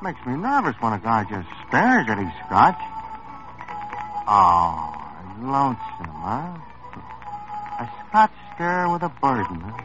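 A sly, mocking bartender. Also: male voice, medium pitch, wildly swooping pitch, slow, long pauses. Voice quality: sardonic, needling. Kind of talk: 1950s radio drama acting. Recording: muffled old radio recording with music.

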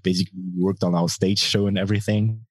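A man with a bass voice giving thoughtful, measured answers in a casual interview. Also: flat delivery, darkish tone, no echo. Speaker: musician being interviewed, guitarist and singer.

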